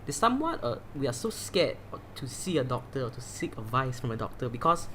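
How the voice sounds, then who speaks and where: A man's voice: medium pitch, sing-song, a little fast, fairly bright tone, slight background noise. A man from Malaysia hosting a casual podcast chat, talking loosely with many restarts. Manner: chatty, many fillers and restarts. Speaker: podcast host. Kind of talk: casual conversational interview.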